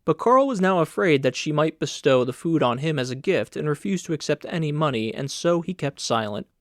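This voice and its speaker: A man's voice: fairly high pitch, animated, a little fast, no echo, studio quality. A man reading scripted literary narration as an audiobook narrator.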